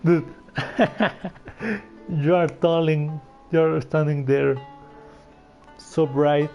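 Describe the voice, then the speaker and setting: A game streamer giving casual gameplay commentary in a halting, amused, slangy way. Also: mid-range voice, highs cut off, soft background music.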